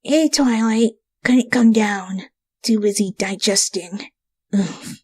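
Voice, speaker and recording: A woman's voice: medium pitch, slow, warm tone, slightly rough, no echo, clean studio sound. A woman voicing a brash, lazy, unrepentant young female pony in a story reading, casual and blunt. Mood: sated, sluggish.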